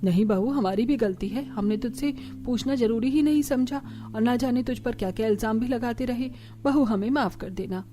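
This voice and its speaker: A woman's voice: fairly high pitch, normal speed, hardly any pauses, warm tone, soft background music, close to an everyday voice. A woman playing an older, bossy mother-in-law in a cartoon family drama, with an admonishing manner. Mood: remorseful.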